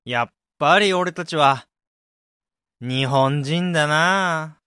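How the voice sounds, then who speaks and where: A man playing a worn-out, whiny salaryman in a radio drama, sighing and grumbling in rough casual speech. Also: on the high side, takes it slowly, bright, forceful tone, dry recording, studio quality. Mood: weary, savoring. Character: whiny worn-out salaryman sulking over overtime.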